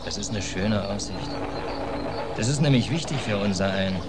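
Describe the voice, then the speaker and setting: Casting: male actor for a sardonic stateless drifter sailor, colloquial, lower-pitched, vintage radio play with clear noise behind. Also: normal speed, lightly acted. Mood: casual, wry. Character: down-and-out stateless American sailor, stubborn, wry drifter. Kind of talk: 1940s German radio play acting. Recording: noisy old radio recording, harbour ambience.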